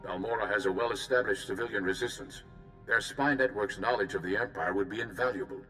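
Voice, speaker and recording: An actor voicing a calm, principled Jedi mission leader in a video game, with a formal, courteous manner. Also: male voice, fairly low pitch, brisk, faint music behind, rough recording. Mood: measured, serious.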